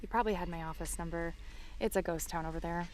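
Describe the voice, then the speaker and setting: A woman's voice: lowish voice, fairly even intonation, fast, a little mellow, close to an everyday voice, rough recording. A woman playing a wry, laid-back newspaper reporter in an audio drama. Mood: wry, relaxed.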